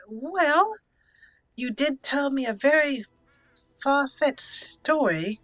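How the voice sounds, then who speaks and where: Bright-voiced woman doing game commentary. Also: high-pitched, lively intonation, very slow, no room sound, poor audio. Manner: thinking aloud, chatty. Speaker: gaming channel host and player. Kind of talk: casual let's play commentary. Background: game music.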